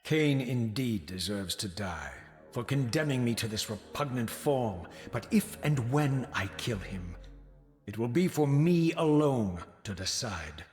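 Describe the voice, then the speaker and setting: An actor playing a proud, bitter, tormented wraith in a video game, with eloquent, formal, theatrical delivery. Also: male voice, medium pitch, expressive, measured pace, a little mellow, faint music behind, lightly acted. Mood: bitter, resolute. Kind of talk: dramatic video game acting and narration.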